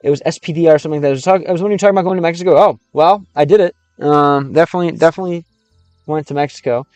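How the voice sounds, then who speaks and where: Male streamer, fairly high, smooth voice, faint game music behind. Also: rapid-fire, a little mellow, no echo. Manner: reads chat aloud, translates game text, restarts often. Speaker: streamer learning Russian. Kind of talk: casual livestream chat with viewers.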